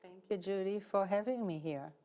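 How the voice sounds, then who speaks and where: A novelist from Israel with an Israeli accent, a woman explaining her work in an interview in a measured, precise way. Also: medium pitch, warm tone. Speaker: guest novelist. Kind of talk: interview answers.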